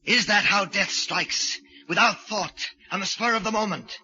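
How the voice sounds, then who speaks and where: Forceful, deranged murderous sculptor in old radio drama. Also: male voice, sits high, a little slow, breathy edge, exaggerated voice. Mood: feverish, grandiose. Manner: theatrical, brooding soliloquies, feigned politeness to others. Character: deranged male sculptor murderer obsessed with playing Death. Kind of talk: 1940s radio acting. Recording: muffled 1940s radio recording.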